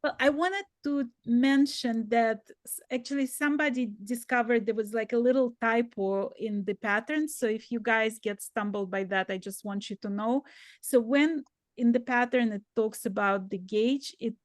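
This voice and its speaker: Friendly lady hosting a knitting call, kinda high voice, dry room sound. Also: moderate pace, no echo. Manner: friendly, fillers like "like". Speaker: host of a knitting video call. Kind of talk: casual chat. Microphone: video call.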